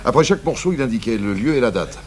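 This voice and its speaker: A man's voice: mid-range voice, lively intonation, quick pace, close to an everyday voice. An actor playing a keen, deferential male police inspector, reporting casually and informatively in a French radio crime drama. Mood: keen, businesslike. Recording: faint noise, old radio recording.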